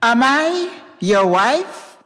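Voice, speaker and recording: Woman reader doing a hurt proud young wife, slow pointed words, echoey hall. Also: high voice, very animated, a bit bright, pitched above the performer's own voice, full character voice. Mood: questioning. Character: wounded, proud young Caribbean wife and mother. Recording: reverberant recital hall.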